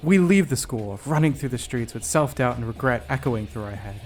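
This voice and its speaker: A man with a medium-pitched voice narrates a scripted game video essay. He tells it like a story and slips in dry, self-deprecating asides. Music plays behind his voice. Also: animated, moderate pace. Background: background music.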